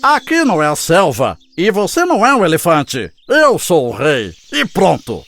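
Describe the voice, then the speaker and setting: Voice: mid-range voice, huge pitch swings, moderate pace. An actor voicing an arrogant, boastful frog who wants to be king, in a pompous, commanding manner for a children's cartoon fable. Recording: music behind the voice. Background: music.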